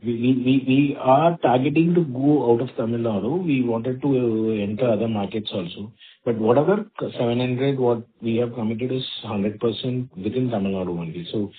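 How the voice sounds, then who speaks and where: A man, a company executive answering investor questions in a halting way, with many fillers. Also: medium pitch. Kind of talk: earnings call answers. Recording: phone-line call audio.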